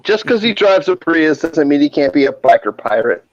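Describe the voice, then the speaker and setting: A man's voice: a little high, big pitch swings, moderate pace. A man on a casual video-call chat, teasing and cutting in with jokes. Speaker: guest. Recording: dry, dull lo-fi sound.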